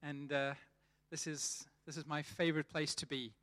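Bright-voiced South African man preaching. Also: a little high, flat delivery, moderate pace. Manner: chatty, repeats words as he restarts. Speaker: church leader preaching. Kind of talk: sermon.

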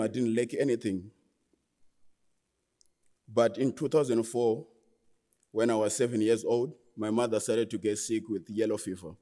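A man in his twenties with a Zimbabwean accent, giving a personal testimony as a guest speaker in an earnest, halting way that often restarts phrases. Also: mid-range voice, mellow. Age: 20s. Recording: long hall reverb.

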